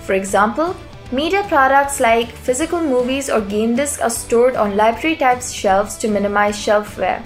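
A woman reading a scripted explainer narration in an even, polished voice-over. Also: a little high, moderate pace. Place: voice-over over stock footage. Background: soft background music.

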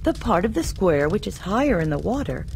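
A woman's voice: on the low side, big pitch swings, brisk, vocal fry, a little mellow, slight background noise. A woman voicing a devoted young man speaking earnestly and with emphasis.

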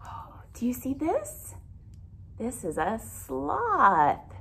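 A woman's voice: high voice, huge pitch swings, extremely slow. A woman in her thirties, a teacher reading a picture book aloud to young children, expressively and with simple explanations and questions. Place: indoor classroom with alphabet wall displays. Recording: clear background noise.

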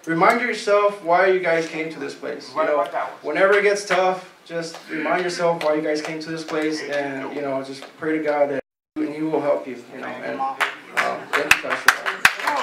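A young man giving a nervous, halting graduation speech on a church stage, thanking his leaders on a handheld mic. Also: fairly high pitch, vocal fry. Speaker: program graduate. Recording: echoey church hall, far off, noisy.

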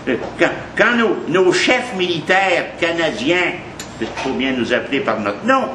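Male lecturer, Quebec French accent, high voice, emphatic conversational delivery, noisy café room. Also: sharp tone. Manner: emphatic, conversational, repeats points for weight. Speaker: history lecturer. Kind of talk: informal history talk to a café audience. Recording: echoey café, noisy background.

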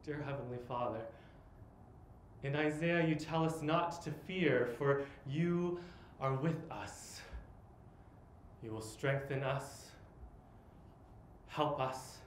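A man in his twenties delivers a theatrical spoken-word monologue at a slow, deliberate pace. He leaves long pauses between short phrases. The live stage recording is echoey, with faint noise behind him. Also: medium pitch. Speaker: monologue performer.

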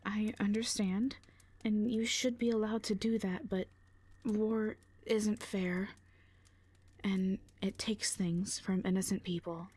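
A woman acts out a protective fantasy warrior woman for an audio drama. Her voice is husky and sits at a medium pitch. She is dry, blunt and sardonic, with care underneath. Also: steady tone, slow pace, darkish tone, dry recording. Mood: firm. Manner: blunt, dry, foul-mouthed. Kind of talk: audio roleplay drama acting.

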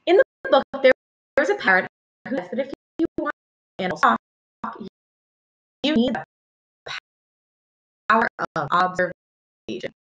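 A young woman reads a storybook aloud for children. Her voice is fairly high and very animated, rising and falling expressively as she tells the story in a teacherly, deliberate way. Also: poor audio. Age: young adult. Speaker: elementary school teacher reading aloud. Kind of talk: expressive children's picture-book read-aloud. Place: small room at home, indoors.

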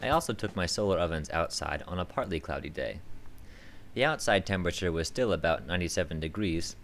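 Low-voiced young man narrating steps. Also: animated, brisk. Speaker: instructor. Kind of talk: scripted instructional voice-over. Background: banjo music.

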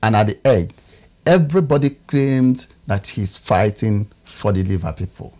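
A man in his thirties with a Nigerian English accent, a political analyst talking in a TV studio, often pausing to search for words. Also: lowish voice, animated, a little mellow. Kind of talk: studio discussion.

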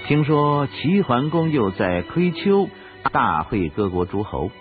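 A man's voice: mid-range voice, big pitch swings, slow. A man narrating a historical radio drama as a scripted, dramatic storyteller. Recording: dull recording with music behind. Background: music.

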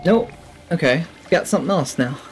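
A man's voice: fairly high pitch, animated, a little fast, soft background music. A man in his thirties giving casual, enthusiastic let's play commentary, musing to himself as he plays. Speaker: gaming video host. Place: indoor room at a desk.

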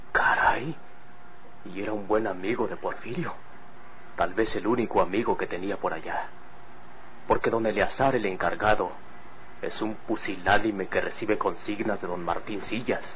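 Actor as deep-voiced Mexican rancher, old radio drama. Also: male voice, moderate pace, long pauses, close to an everyday voice. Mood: grave, concerned. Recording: faintly noisy vintage radio recording.

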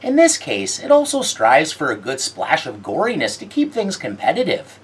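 A low, husky woman's voice swoops widely up and down as she delivers scripted horror film commentary. Her tone is wry and sardonic, with a theatrical lilt on her asides. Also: wildly swooping pitch, moderate pace. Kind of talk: scripted video-essay commentary.

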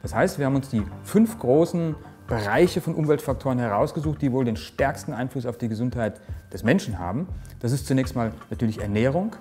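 A man's voice: medium pitch, lively intonation, brisk, hardly any pauses, dark tone, faint music behind. A man in his forties, a research director, explaining his work in an interview with earnest restarts and fillers, recorded in an office on a lapel mic. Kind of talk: interview statement. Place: office with microscope, indoors.

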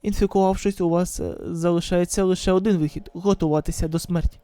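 A woman voicing a grave, measured news expert giving a formal report in a manga drama. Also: on the low side, steady tone, dark tone, no echo, pitched below the performer's own voice, close to an everyday voice.

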